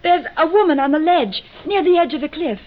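High-voiced, suspicious radio wife. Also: female voice, lively intonation, brisk. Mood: accusing. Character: suspicious, accusing, wronged wife. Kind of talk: 1940s-50s radio drama acting. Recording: muffled old radio recording.

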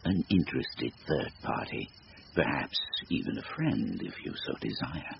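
An actor playing a sinister supernatural bargainer in a radio drama, gravelly, with a deliberate, formal, taunting delivery. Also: male voice, very deep voice, animated, heavily acted. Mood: sly, taunting. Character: sinister supernatural stranger, silky, devilish bargainer, bored and mocking. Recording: noisy, muffled radio drama recording.

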